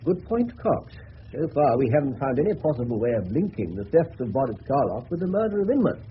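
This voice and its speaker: A man's voice: mid-range voice, sing-song, a little fast, lightly acted. Tinny-sounding English police detective, played by a man. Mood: wry, resigned. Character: male senior police detective, thoughtful, wry, easily dejected. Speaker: senior detective in a murder mystery. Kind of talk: 1970s British radio drama acting. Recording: muffled phone-like old radio broadcast, faint noise.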